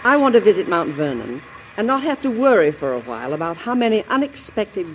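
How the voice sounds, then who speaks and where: A woman playing a caring, dutiful president's wife in an old radio drama, speaking in a gentle, proper, reassuring way. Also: mid-range voice, sing-song, a little fast, close to an everyday voice. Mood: fond, soothing. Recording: muffled, noisy vintage radio broadcast.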